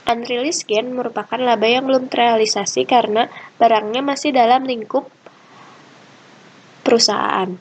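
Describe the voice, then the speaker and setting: A woman's voice: fairly high pitch, steady tone, normal speed, long pauses, poor audio. A young woman giving an explanatory class presentation as a student. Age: young adult. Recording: clear background ambient noise.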